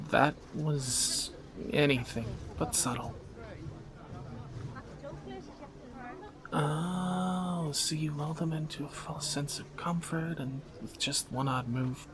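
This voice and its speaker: A man's voice: a little high, lightly acted. A man playing a wry, flirtatious thief on the run in a romantic audio drama, speaking in teasing, sardonic banter to a companion. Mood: flustered, teasing.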